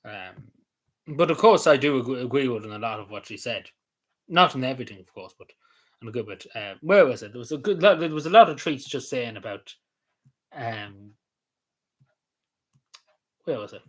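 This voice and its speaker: A man's voice: mid-range voice, lively intonation, moderate pace, pauses often, fairly bright tone. A man rambling casually on camera, hesitant, with many fillers, restarts and small chuckles. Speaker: vlogger.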